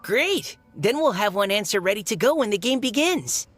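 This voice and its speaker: A woman's voice: medium pitch, sing-song, moderate pace, a bit bright, faint music behind. A woman voicing a friendly, earnest young female knight in a video game, chatty and helpful. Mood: upbeat.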